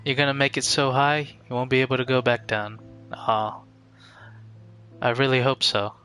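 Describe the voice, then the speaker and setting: A man chatting casually on a game livestream in an earnest, halting, reflective way. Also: mid-range voice, steady tone, sharp tone, faint music behind. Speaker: game streamer.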